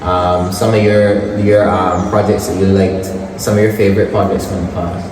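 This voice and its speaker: Host in an echoey room. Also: male voice, sits low, level tone, moderate pace, noisy background. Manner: upbeat, affirming with frequent "mm-hmm" and shout-outs. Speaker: interview host. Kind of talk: casual interview. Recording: echoey room, distant mic.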